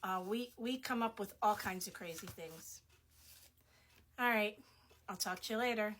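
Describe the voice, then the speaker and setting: A middle-aged woman whose voice is going hoarse, talking casually in her shop office as she shows off what she sells. She chats freely with lots of ums and little laughs, at an ordinary pitch. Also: a little slow, a bit bright, a little hiss or hum. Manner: chatty, laughs often, many fillers. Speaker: small shop owner. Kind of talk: casual product showcase.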